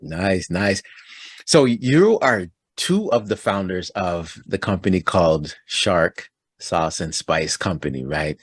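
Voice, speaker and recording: A man hosts a relaxed interview, his pitch bouncing up and down as he chats and laughs along. His voice has a husky edge. It sits close and dry, with no room around it. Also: slow, no echo. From Toronto, Canada. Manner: upbeat, chatty, laughs easily. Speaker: podcast host. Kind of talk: casual interview.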